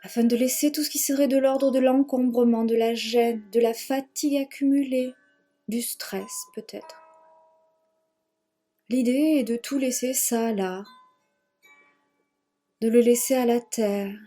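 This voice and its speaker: A woman leading a guided yoga nidra relaxation in a gentle, measured manner, leaving long pauses and repeating phrases. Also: fairly high pitch, steady tone, faint music behind. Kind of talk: guided meditation, slow spoken relaxation.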